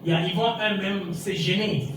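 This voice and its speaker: A man's voice: high voice, steady tone, moderate pace, fairly bright tone, a little hiss or hum. A man interpreting a speech into French, rephrasing each sentence with some hesitation. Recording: echoey hall, far from mic, music behind.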